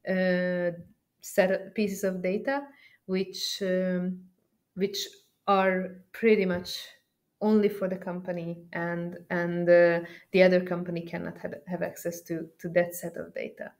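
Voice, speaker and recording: Low-pitched woman giving a software walkthrough. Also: little pitch movement, unhurried. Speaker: software trainer presenting a demo. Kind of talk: explanatory software walkthrough, conversational.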